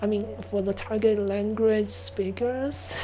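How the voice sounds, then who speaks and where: Slow-talking woman with a Chinese accent. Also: mid-range voice, steady tone, slight background noise. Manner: rambling, many fillers and soft laughs. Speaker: game streamer. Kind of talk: casual stream chat.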